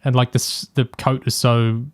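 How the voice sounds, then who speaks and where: A man's voice: mid-range voice, level tone, unhurried, dry recording, studio quality. A man in a casual review discussion as a podcast host, thinking aloud in a hesitant way.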